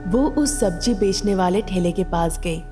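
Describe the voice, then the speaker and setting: A woman's voice: mid-range voice, unhurried, mellow, long reverb tail, soft background music. A woman narrating a scripted story as a storyteller.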